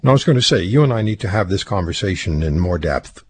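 A man's voice: sits low, expressive, fast, slight background noise. A man hosting a radio talk show, interviewing a guest conversationally with short affirming responses. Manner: conversational, affirming with brief acknowledgments.

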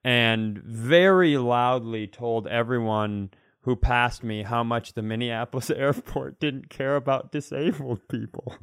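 Casting: male comedy podcaster, middle-register voice, very dry sound, rambling animated conversational delivery. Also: medium pitch, measured pace, few pauses, smooth, no echo.

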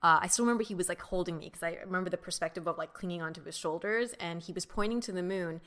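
A young woman from the United States, co-hosting a podcast and telling childhood stories in a relaxed, reflective way, with many fillers. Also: on the low side, fast. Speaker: podcast co-host. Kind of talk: casual chat.

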